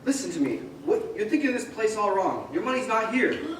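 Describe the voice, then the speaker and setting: Guy playing an earnest young small town banker in a radio play, lively high voice, big echoey hall. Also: a little fast. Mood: urgent, pleading. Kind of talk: stage radio play acting. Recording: echoey hall, distant mic, faint crowd noise.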